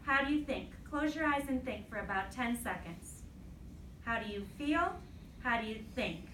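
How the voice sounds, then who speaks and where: A woman teacher reading aloud to a class and giving pupils deliberate, instructive directions, checking that they follow along. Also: fairly high pitch, lively intonation, a bit bright. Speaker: elementary school teacher. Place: classroom, recorded from a distance. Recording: roomy, distant mic, faint noise.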